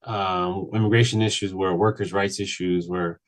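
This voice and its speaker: A man in his late thirties, from Mexico, telling his personal story on a panel in an earnest, halting way, with many restarts. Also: low voice, fairly even intonation. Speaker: panel speaker. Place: virtual event.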